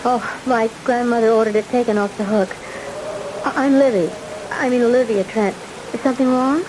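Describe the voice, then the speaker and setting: A polite, timid eighteen-year-old played by a woman speaks with gentle hesitation. It is old-time radio drama acting, on a recording with clear hiss. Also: on the high side, fairly even intonation, normal speed, close to an everyday voice. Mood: anxious, polite. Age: young adult.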